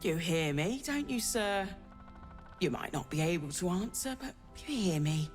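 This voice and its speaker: A woman voicing a kindly, earnest healer in a fantasy game, gentle and plain-spoken. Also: lowish voice, animated, a little slow, soft background music. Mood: soothing. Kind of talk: dramatic video game acting.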